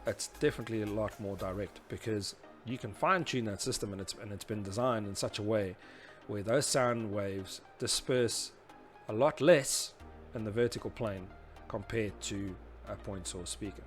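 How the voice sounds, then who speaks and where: Low-voiced man explaining, music behind. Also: moderate pace, few pauses. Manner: informal, conversational, often adds "you know" and "obviously". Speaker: presenter of a tutorial series on PA systems. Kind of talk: explanatory lesson, talking through diagrams.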